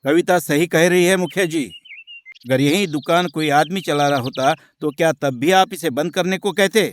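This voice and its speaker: A man's voice: on the high side, normal speed, close to an everyday voice. A man voicing a loyal, principled young village husband in a cartoon, earnest and reasoning. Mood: challenging.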